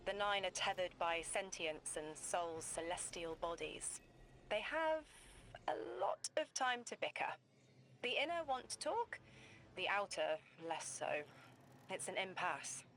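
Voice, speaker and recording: An actress playing a cryptic female seer who speaks in slow, trance-like visions. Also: fairly low pitch, expressive, a bit bright, slight background noise. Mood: calm cryptic.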